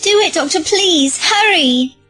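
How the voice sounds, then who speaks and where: Very high-voiced frightened little girl. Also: expressive, a little slow, bright, forceful tone, no room sound, exaggerated voice. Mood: anxious pleading. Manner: childlike, pleading. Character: frightened, pleading young girl child. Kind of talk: audio drama acting. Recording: music behind.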